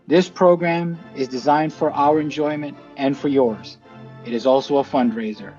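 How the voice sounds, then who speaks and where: A man presents a scripted introduction in a mid-pitched voice, measured and articulate. Soft music sits behind him. The sound is a little dull. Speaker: team representative introducing the show.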